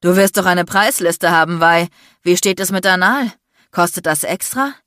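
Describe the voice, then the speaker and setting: An icy, domineering man in an audiobook drama, acted out by a woman. He is furious and sarcastic, hissing and barking threats in a lowish voice with a dry, close sound. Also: moderate pace, no room sound. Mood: cruel, aggressive. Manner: shouting, biting, threatening, crude. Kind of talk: dramatic audiobook character acting.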